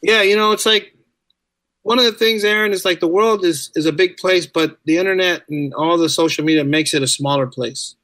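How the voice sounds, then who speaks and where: A man's voice: high-pitched, a little fast, bright, forceful tone, no echo. A middle-aged man telling stories casually as a podcast guest, chatty and informal, repeating phrases for emphasis. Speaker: podcast guest, teacher and writer. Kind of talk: casual conversational storytelling.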